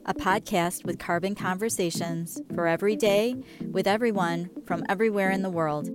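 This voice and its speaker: A woman's voice: medium pitch, steady tone, normal speed. Canadian woman introducing over music. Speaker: podcast host reading the introduction. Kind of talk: scripted narration.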